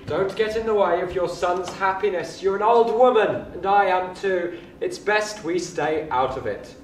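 An actress playing a cautious old neighbour who gives blunt advice, heard from a distance on an echoey stage. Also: female voice, lowish voice, unhurried, slight background noise, natural voice. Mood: cautioning. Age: older. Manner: blunt, advising.

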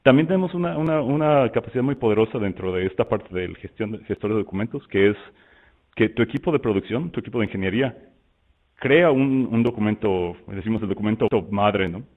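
A man with a Mexican Spanish accent talks through a software demo in a relaxed, rambling way, stopping and restarting his phrases. His voice sits low. The sound is narrow and tinny, like a phone line on a video call. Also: brisk. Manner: loose and conversational, many fillers and restarts. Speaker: software presenter. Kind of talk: webinar product walkthrough.

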